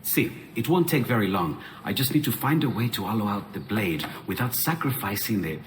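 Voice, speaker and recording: A friendly, eager Renaissance inventor with an Italian accent, played by a man. The voice is low and animated, ringing in a reverberant room. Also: a little fast, natural voice. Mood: helpful. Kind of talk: video game acting. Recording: reverberant room with faint noise.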